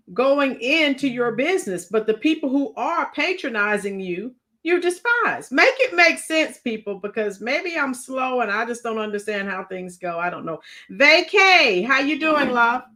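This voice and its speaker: A woman's voice: a little high, bright, forceful tone. A woman hosting a casual live reaction show, emphatic and exclamatory, talking straight to her viewers. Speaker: livestream host reacting to news and viewer comments.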